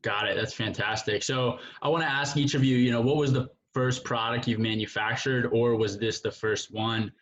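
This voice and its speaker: A man's voice: mid-range voice, steady tone, moderate pace, few pauses, a bit bright, dull audio. A man hosting a casual interview in an informal, affirming manner. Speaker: interview host.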